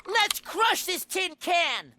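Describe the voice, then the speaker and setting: Brash female gangster, highish, animated, aggressive sneer, game villain, noisy distant sound. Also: slow, exaggerated voice. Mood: taunting. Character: brash, taunting female gang member. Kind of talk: video game acting.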